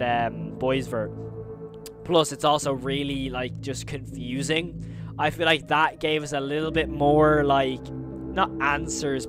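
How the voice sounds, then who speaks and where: A young adult man reacting to a video in a casual, enthusiastic, slangy way, talking close into a microphone in a bedroom studio. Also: medium pitch. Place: small bedroom studio, indoors. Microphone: close boom-arm microphone.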